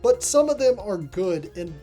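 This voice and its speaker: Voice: mid-range voice, sing-song, moderate pace, mellow, faint music behind. A reviewer narrating a scripted video review in a voice-over.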